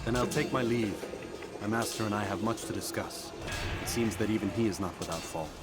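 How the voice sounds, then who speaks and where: A man plays a young assassin warrior in a video game. His voice is low and his lines are blunt and solemn, with stern, principled conviction. Loud music plays underneath. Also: a little fast, close to an everyday voice. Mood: firm, solemn.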